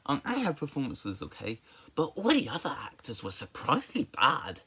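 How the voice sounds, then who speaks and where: Halting, stammering man with swinging pitch. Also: wildly swooping pitch, bright, forceful tone, breathy edge. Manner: halting, stammering, repeats and restarts words often. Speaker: amateur film reviewer. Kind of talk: informal spoken movie review.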